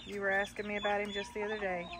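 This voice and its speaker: A woman's voice: mid-range voice, little pitch movement, very fast, very noisy. An older woman chatting casually as she checks on her backyard chickens, greeting the hens in a sing-song voice. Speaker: backyard chicken keeper, vlogger. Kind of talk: casual walk-through chat. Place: outdoors at a backyard chicken coop. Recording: outdoor recording with clear clucking hens. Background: chickens clucking.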